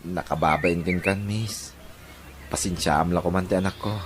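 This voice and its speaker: A man playing a gentle, apologetic father coaxing his child in an Ilocano audio drama. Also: sits low, steady tone, moderate pace, slight background noise, close to an everyday voice. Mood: embarrassed, apologetic.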